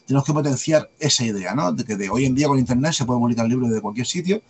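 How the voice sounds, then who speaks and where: A man with a light Andalusian accent, a guest talking at length in a rapid, discursive way and tagging on questions. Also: medium pitch, animated, husky. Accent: light Andalusian Spanish. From Andalusia, Spain. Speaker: guest, publisher and author. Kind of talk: conversational interview answers.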